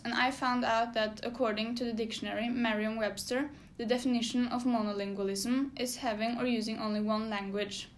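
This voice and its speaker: A young woman from Germany reads dictionary definitions aloud on a student podcast. She reads carefully and at an even pace. Her voice sits a little high. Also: a little hiss or hum. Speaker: podcast co-host. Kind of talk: reading definitions.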